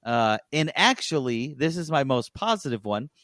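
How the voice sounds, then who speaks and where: A man co-hosting a podcast, giving opinions in a casual discussion in a halting, explanatory way. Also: mid-range voice, very animated, fairly bright tone, no echo, clean studio sound. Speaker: podcast co-host.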